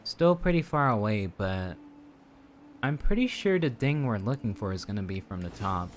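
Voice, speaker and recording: A man's voice: fairly low pitch, big pitch swings, normal speed, a little hiss or hum. A man doing casual, rambling live commentary on a video game, playfully chatting to his viewers, with game music behind him. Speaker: game streamer.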